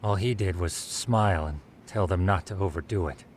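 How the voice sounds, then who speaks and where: A man's voice: low-pitched, moderate pace, slight background noise, lightly acted. An actor playing a polite, knowledgeable male investigator in a video game, talking formally and explaining details.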